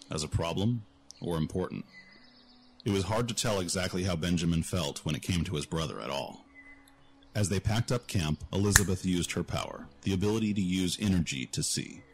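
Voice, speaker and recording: A male narrator reads a scripted fantasy audio drama. His voice is low-pitched, with quiet music playing underneath.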